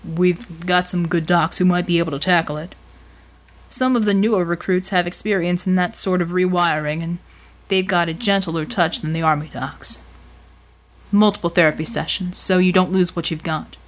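Woman putting on a gruff, commanding big military man. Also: fairly low pitch, fairly even intonation, normal speed, pitched below the performer's own voice, close to an everyday voice. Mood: matter-of-fact. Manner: blunt, commanding, unsentimental.

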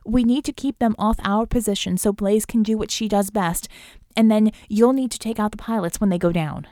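A woman voicing an urgent, practical female detective, brisk and matter-of-fact under pressure, in an audiobook adventure. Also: medium pitch, steady tone, warm tone, dry recording, studio quality, lightly acted.

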